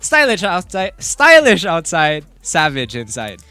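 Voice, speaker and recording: A woman's voice: lowish voice, wildly swooping pitch, unhurried, bright, forceful tone, dry recording. Casual Filipino woman co-casting esports over music. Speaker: esports co-caster and panelist. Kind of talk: casual bilingual panel commentary. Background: faint background music.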